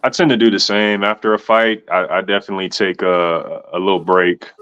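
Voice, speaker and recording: A man's voice: deep voice, fairly even intonation, brisk, a bit bright, clear, resonant tone, highs cut off. A man in his thirties hosting a casual interview, laid-back and slangy, calling people man and bro. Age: 30s. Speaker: host.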